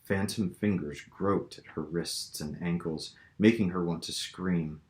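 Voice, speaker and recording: Low-voiced man reading fiction, roomy sound. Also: a little slow, a little mellow. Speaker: author reading own novel. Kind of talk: dramatic audiobook-style narration. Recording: roomy, echoing room sound.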